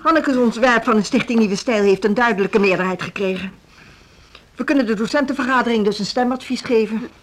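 A businesslike chairwoman played by an actress in a radio drama. She speaks in a higher-than-average voice, formal and orderly, over faint background noise. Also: fairly quick, lightly acted. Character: businesslike woman chairing a staff meeting. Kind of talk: naturalistic radio drama acting.

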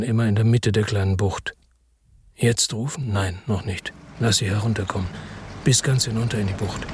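An actor playing a weary hard-boiled man who narrates his own crime story in a radio drama, terse, brooding and husky. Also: on the low side, darkish tone, no echo.

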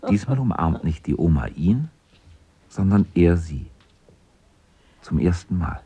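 A man narrating a radio play as a calm storyteller in a scripted style. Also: sits low, unhurried, slightly rough, no room sound, heavily acted.

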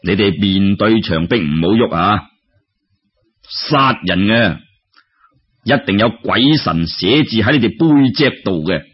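A man voicing a stern, shrewd scholar-official in a Cantonese-accented story, solemn, deliberate and commanding. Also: fairly low pitch, expressive, slightly rough, highs cut off, pitched below the performer's own voice.

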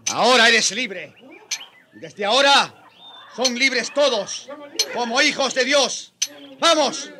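A man's voice: extremely high pitch, slow, long pauses, noisy background. A man playing an earnest, solemn colonial priest who defends native people, in preaching radio drama style. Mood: earnest, liberating.

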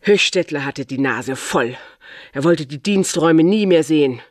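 A man's voice: on the high side, expressive, normal speed, dry recording, clean studio sound, lightly acted. An actor in a radio play, a man playing a sardonic storyteller who is wry, emphatic and scornful of officialdom.

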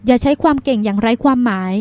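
A woman's voice: a little high, very fast, warm tone, no echo, lightly acted. Woman voicing a weary, earnest older sister. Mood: reproachful.

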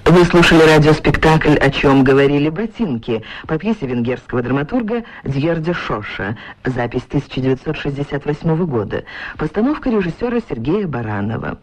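A man's voice: fairly high pitch, sing-song, brisk, poor audio. A man working as a radio announcer, reading formal scripted broadcast credits.

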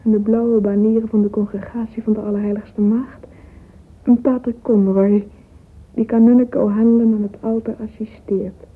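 An actress playing a vain, romantic young woman in a radio drama monologue, intimate and breathy, often whispering. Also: a little high, close to an everyday voice. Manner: intimate, confiding. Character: dreamy, vain, catty romantic young woman musing privately. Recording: tinny, hissy old radio recording.

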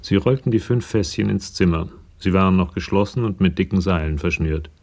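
A man's voice: low voice, a little fast, darkish tone. A man narrating a radio crime drama in a sober, scripted way. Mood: measured, sober. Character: sober male narrator of a police crime story.

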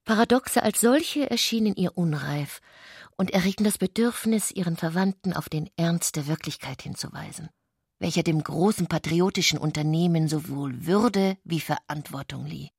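Husky, low-voiced woman narrating literature. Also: moderate pace, a little mellow, no echo, clean studio sound. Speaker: narrator of a literary radio play.

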